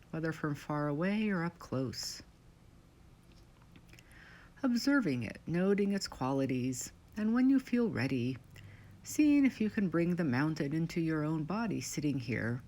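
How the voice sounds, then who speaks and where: A woman leading a scripted guided meditation in short, measured, soothing phrases with pauses between them. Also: sits low, a little mellow.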